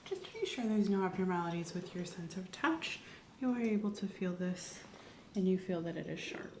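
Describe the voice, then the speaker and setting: A woman playing a calm doctor in an ASMR medical roleplay, speaking softly and methodically as she explains an exam. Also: on the low side, moderate pace, roomy sound, a little hiss or hum, lightly acted. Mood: calm, professional. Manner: gentle, methodical, clinical explanations. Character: calm, professional female doctor doing a patient exam.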